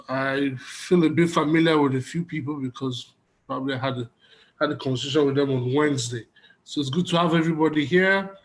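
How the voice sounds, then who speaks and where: A man's voice: fairly high pitch, rough recording. A man with a Nigerian accent, moderating an online panel and introducing guests in courteous, formal phrases. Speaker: conference moderator. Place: online video conference.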